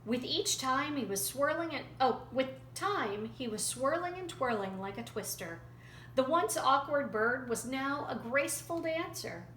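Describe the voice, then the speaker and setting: Slow, bright-voiced woman telling a folktale. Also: high-pitched, lively intonation. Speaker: storyteller. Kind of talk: expressive folktale storytelling. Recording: roomy echo, faint background noise.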